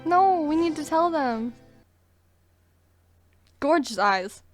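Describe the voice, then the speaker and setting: A woman's voice: sits high, lively intonation, slow. A woman doing casual gameplay commentary, exclamatory and slangy, emotionally invested in the story as she reacts. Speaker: gaming streamer reacting to story. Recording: game music behind the voice.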